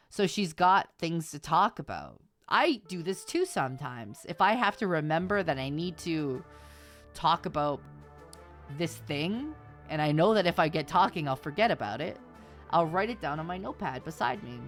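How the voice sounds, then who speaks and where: A woman's voice: lowish voice, dry recording. A woman streamer giving advice to her viewers in informal livestream chat, repeating her points for emphasis.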